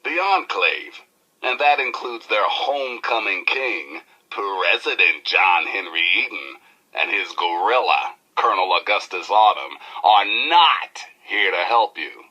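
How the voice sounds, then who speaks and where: An actor playing a brash, rebellious American wasteland radio DJ, talking in showy, punchy, slangy patter with a preacher's streak. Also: male voice, deep voice, expressive, a little slow, bright, forceful tone, dull audio, full character voice. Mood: urgent, preaching. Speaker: radio DJ and host. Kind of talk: high-energy radio DJ patter.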